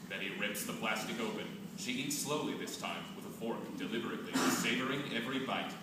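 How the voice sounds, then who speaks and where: A man's voice: medium pitch, fairly quick. A man reading scripted stage directions as narrator at a staged play reading. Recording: echoey hall from far off, noisy.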